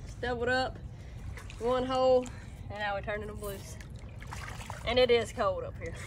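A woman's voice: fairly high pitch, steady tone, moderate pace. A young woman, chatting and laughing while standing in a lake, filmed from a nearby boat. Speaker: vlog host. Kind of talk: casual outdoor chat. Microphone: camera on a boat nearby. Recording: loud water and wind noise.

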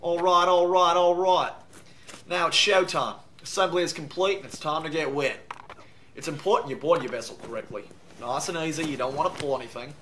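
A man doing a jokey, deadpan kayak demo outdoors. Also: a little high, brisk, a little hiss or hum. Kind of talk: comic product demo.

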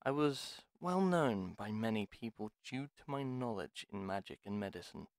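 A man playing a gruff, wary retired pharmacist in a fantasy audio roleplay, speaking in a formal, deliberate, theatrical way. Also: on the low side, expressive, no echo, clean studio sound. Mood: solemn, reminiscing. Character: gruff, reluctant retired male pharmacist, courteous but wary. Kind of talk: dramatic audio roleplay monologue.

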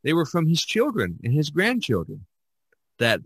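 A man hosting a podcast, talking casually in a rambling, halting way. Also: fairly high pitch, huge pitch swings, fairly bright tone, husky, no room sound. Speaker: podcast host. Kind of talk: casual conversation.

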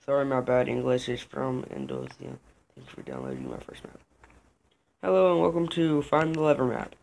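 A teenage boy hosting a casual gaming video, bossy and impatient with his friend, reading game signs aloud haltingly. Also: mid-range voice, wildly swooping pitch, vocal fry, a little mellow, a little hiss or hum. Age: teens. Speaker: gaming channel host. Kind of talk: casual let's play commentary. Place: indoor room.

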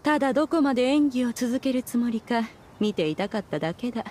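A woman's voice: on the high side, lively intonation, brisk, warm tone, a little hiss or hum, close to an everyday voice. A woman voicing a proud, ancient immortal in a game, imperious, with archaic regal phrasing. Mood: knowing, stern. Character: proud, ancient immortal adeptus woman, haughty but fond. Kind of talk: dramatic game voice acting.

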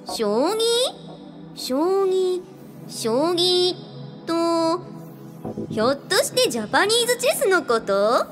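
A woman's voice: extremely high pitch, big pitch swings, slow, pauses often, heavily acted. Woman as breezy, flirtatious glamorous lady spirit. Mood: curious. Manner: coquettish, casual, self-assured. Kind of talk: radio drama acting. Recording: music behind the voice. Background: music.

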